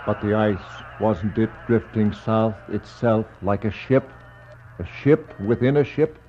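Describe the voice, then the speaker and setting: Solemn, slow explorer-narrator. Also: male voice, on the low side. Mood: questioning. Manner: incantatory, rhetorical questioning. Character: solemn, probing explorer-narrator addressing the dead, poetic and intense. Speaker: actor, narrator. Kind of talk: 1960s radio verse drama. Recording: muffled, faintly noisy 1960s radio recording.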